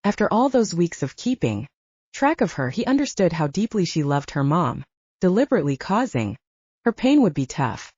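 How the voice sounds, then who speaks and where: A woman's voice: lowish voice, sing-song, moderate pace, darkish tone, breathy edge, no room sound, highs cut off. A woman narrating a scripted novel as an audiobook reader.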